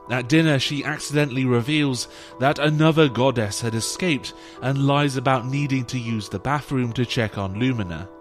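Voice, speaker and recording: Male story narrator over music. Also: mid-range voice. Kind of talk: scripted story recap narration. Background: background music.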